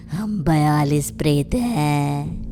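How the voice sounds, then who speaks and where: A woman voicing a possessed girl full of eerie spirits, taunting in an animated horror story with music behind. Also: on the low side, heavily acted. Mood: menacing.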